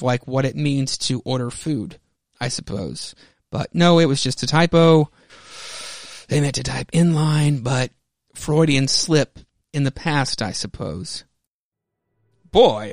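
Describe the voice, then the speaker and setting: An American man hosts, reading comic-book narration theatrically and adding casual commentary. His voice sits a little high for a man. The sound is close and dry. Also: no echo. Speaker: podcast host.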